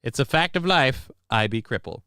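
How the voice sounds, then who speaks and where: A man's voice: mid-range voice, expressive, moderate pace, sharp tone. A man hosting a casual live stream from a home setup on a desk mic, joking crudely and reading viewer comments aloud. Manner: mock-grand war speech delivery. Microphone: desk microphone.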